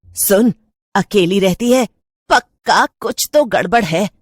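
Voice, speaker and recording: A woman voicing a nosy, excitable housewife who chats and pries in a cartoon story. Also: mid-range voice, slow, husky, dry recording, clean studio sound. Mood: gossipy. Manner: eager, prying, chatty.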